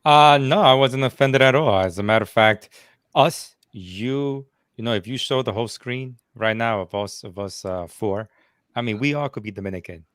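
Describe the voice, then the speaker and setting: Lively man in dry casual chat. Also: medium pitch, moderate pace, clear, resonant tone, no room sound. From New Jersey. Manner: animated, talks with his hands in phrases, many restarts and fillers. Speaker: guest reviewer.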